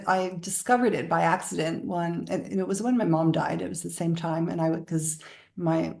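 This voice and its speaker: Woman guest from the bahamas, lowish voice, bit of fry, talking spiritual stuff. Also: steady tone, normal speed, darkish tone, echoey room. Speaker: interview guest, spiritual practitioner. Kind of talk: spiritual interview talk.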